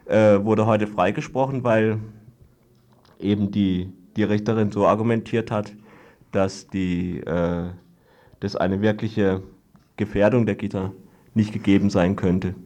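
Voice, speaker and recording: A man giving an unscripted radio report, explaining things haltingly in long-winded sentences. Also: lowish voice, steady tone, dark tone. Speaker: guest reporting on a court trial.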